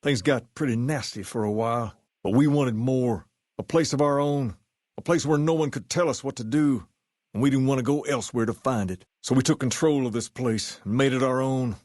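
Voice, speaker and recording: Male actor as smooth video game gang leader, drawling swagger, folksy and cool, mid-range pitch, close dry sound. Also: normal speed, darkish tone, dry recording, close to an everyday voice. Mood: reflective. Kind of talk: video game dialogue acting.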